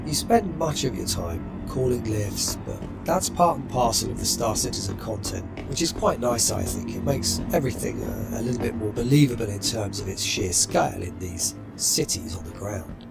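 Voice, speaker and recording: Gravelly man narrating a walkthrough. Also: on the low side, animated. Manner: measured, matter-of-fact guide. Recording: music playing behind.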